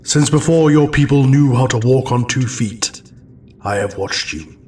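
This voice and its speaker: A man playing an ancient, stern and weary wizard in an audio drama, speaking solemnly and deliberately. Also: mid-range voice, darkish tone, far from the mic, lightly acted. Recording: off-mic with faint noise.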